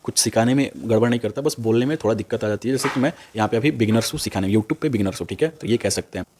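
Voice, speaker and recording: A young man giving an informal, unscripted tutorial, repeating himself and often tagging phrases with a quick check word. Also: lowish voice, background noise. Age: young adult. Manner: repetitive, with a frequent "okay" tag after phrases. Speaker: stock trading tutor on YouTube.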